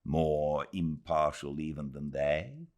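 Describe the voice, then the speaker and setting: A British man narrating a literary classic as an audiobook reader, with measured, dramatic phrasing and expressive delivery. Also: rumbling low. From Britain.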